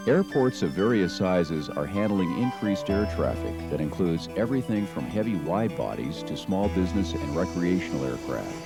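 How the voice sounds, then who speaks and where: A middle-aged American man narrating a training film in a measured, formal, instructional manner. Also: sits low. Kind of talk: scripted documentary narration. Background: music under the narration.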